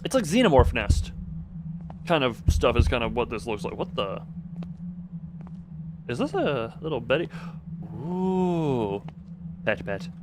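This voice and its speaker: A man's voice: medium pitch, big pitch swings, a little slow, pauses often, background noise. A man live streaming a game, chatting casually with viewers in a rambling, exclamatory way, often restarting and rephrasing his sentences. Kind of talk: casual gaming commentary and chat with viewers.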